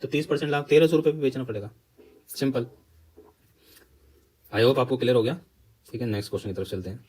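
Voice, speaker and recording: Casting: Indian man, mid-pitched voice, exam tutor who explains step by step and checks with rhetorical questions. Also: fast talker, long pauses, darkish tone. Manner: instructional, asks questions and answers them himself; mixes Hindi explanation with English terms. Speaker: maths teacher for exam preparation.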